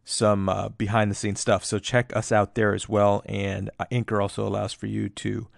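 A hoarse man casually hosting a fantasy sports podcast, rambling with lots of fillers. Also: fairly low pitch, level tone, normal speed, dry recording, studio quality. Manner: loose, rambling, many fillers. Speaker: podcast host, league commissioner. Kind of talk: casual solo podcast talk.

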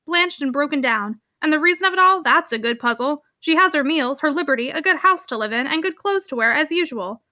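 A woman's voice: high-pitched, sharp tone, no echo, clean studio sound. A woman voicing a blustering, impatient middle-aged uncle from a storybook, brusque, scoffing and exclamatory. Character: blustering, impatient fifty-five-year-old uncle, gruffly dismissive. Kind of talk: storybook character dialogue.

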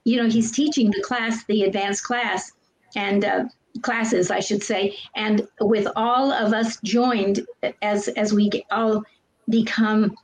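A woman guest on a talk show who speaks softly and gushes as she shares her experience. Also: medium pitch, steady tone, takes it slowly, poor audio. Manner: soft-spoken and gushing, with light laughs. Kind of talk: casual testimonial chat.

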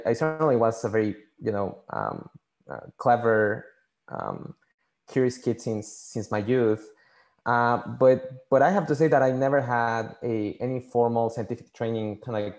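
A man from Mexico City, a native Spanish speaker with a Mexican accent, answering interview questions casually and haltingly, often repeating words. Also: on the low side, darkish tone. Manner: halting, repeats words, many "you know" fillers. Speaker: podcast guest, scientist and engineer.

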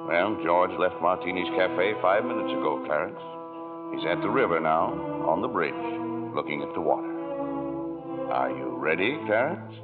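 An actor playing a calm, authoritative heavenly angel in a 1940s radio drama. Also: male voice, low-pitched, moderate pace. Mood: solemn, commanding. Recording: muffled old radio, loud music behind.

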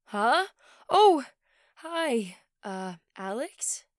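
A woman playing a depressed, vulnerable young girl in an audio drama, halting and hesitant in her speech. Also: a little high, big pitch swings, no echo, studio quality, exaggerated voice. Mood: weary.

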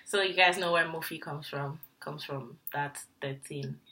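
A woman's voice: low-pitched, fairly even intonation, measured pace, sharp tone. A woman from Nigeria co-hosting a podcast, chatting casually and laughing easily. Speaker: podcast co-host. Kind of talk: casual chat.

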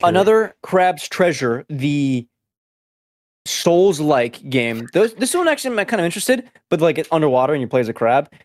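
A man who grew up speaking Spanish first, hosting a casual podcast and rattling off news items in quick succession. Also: a little high, very animated, no room sound, studio quality. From United States. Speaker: podcast host.

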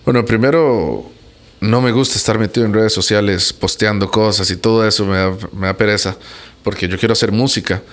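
A man's voice: on the low side, steady tone, a little fast, a bit bright. A man from Costa Rica with a Costa Rican accent, a musician giving casual, conversational answers in an interview.

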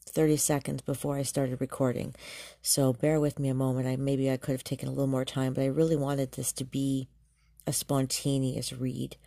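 Low, mellow-voiced woman, a little nasal. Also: vocal fry, no room sound, studio quality. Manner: soft, searching, with many ums and restarts. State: congested, slightly nasal from allergies. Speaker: tarot reader and medium. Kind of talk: spontaneous tarot reading to camera.

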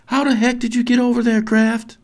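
A man's voice: high-pitched, huge pitch swings, takes it slowly, vocal fry, warm tone, pitched above the performer's own voice, full character voice. A man voicing an emotional mother in a told story, calling to her children in a raised, anxious voice. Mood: tearful relief.

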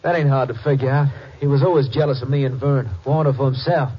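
A man's voice: mid-range voice, steady tone, a little fast, husky, natural voice. An actor playing a young American ex-convict suspect who protests his innocence in a folksy, hesitant, pleading way, in 1950s radio drama style. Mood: indignant, pleading. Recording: muffled vintage radio recording.

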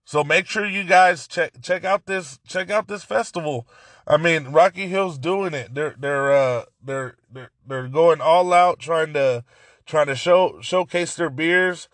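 Hoarse raspy guy rambling on his podcast, kinda high voice, all hyped, trips over his words a lot. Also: a little slow, dry recording, clean studio sound. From Central California. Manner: excited, rambling with many restarts. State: hoarse from yelling. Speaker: podcast host. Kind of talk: casual solo podcast talk.

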